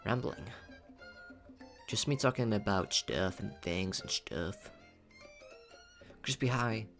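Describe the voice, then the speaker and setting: A man rambles casually to his livestream chat. He is playful and cutesy, often repeating his phrases, with music playing clearly behind him. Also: lowish voice, lively intonation, takes it slowly, fairly bright tone. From Philippines. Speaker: VTuber livestreamer.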